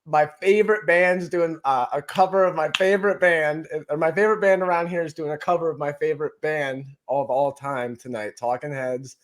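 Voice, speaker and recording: A young man co-hosting a casual podcast, upbeat and quick to agree and joke. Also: a little high, steady tone. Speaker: podcast co-host. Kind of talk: casual chat.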